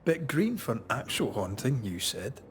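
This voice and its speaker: An actor playing a steady, dryly witty ghost hunter in a video game, speaking in an understated, earnest way. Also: male voice, medium pitch, normal speed, slight background noise, lightly acted. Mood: dryly teasing. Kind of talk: cinematic game dialogue.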